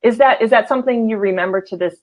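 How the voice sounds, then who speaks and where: A woman's voice: fairly high pitch, fast. A woman in her forties, a show host, interviewing a guest in an enthusiastic, effusive way, often restarting her sentences. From California. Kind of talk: casual interview conversation.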